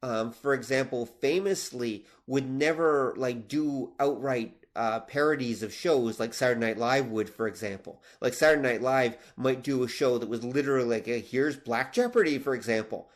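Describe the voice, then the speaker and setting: Canadian man explaining emphatically, medium-pitched voice. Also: normal speed, few pauses. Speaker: podcast co-host; teacher. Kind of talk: casual discussion, explaining with examples.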